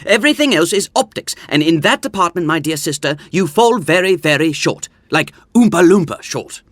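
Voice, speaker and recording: A man's voice: fairly high pitch, lively intonation, normal speed, dry recording, close to an everyday voice. An actor playing a theatrical, condescending older brother in a comedy audio drama, savoring his words as he meddles. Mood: haughty, critical. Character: theatrical, smug, meddling older brother and self-appointed schemer. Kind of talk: modern romantic comedy audio drama acting.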